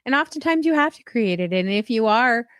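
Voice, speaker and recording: A woman's voice: a little high, animated, a little fast, no echo, studio quality. A middle-aged woman hosting a podcast, speaking casually, affirming her guest and telling personal stories with frequent laughter. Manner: warm, affirming, laughs often, storytelling. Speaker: podcast host. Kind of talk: casual interview conversation.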